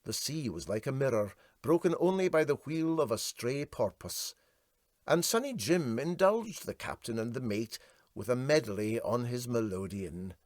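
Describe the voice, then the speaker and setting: Expressive Scottish man reading a story. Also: medium pitch, normal speed, no room sound, clean studio sound. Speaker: storyteller, audiobook reader. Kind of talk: literary scripted narration of a comic story.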